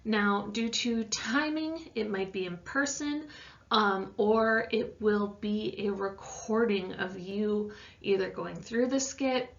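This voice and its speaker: A woman's voice: medium pitch, takes it slowly, creaky. Woman teacher in a dull, lo-fi recording. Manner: casual, conversational asides. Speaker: science teacher. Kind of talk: explaining an assignment, informal lesson voice-over.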